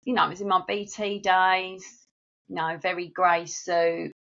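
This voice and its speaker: A woman's voice: on the low side, fairly even intonation, a little slow, gravelly, a bit bright, lo-fi sound. A middle-aged woman with a South East London accent, telling stories in a friendly, chatty way as a podcast guest. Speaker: guest, marketing agency director. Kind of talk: casual interview chat.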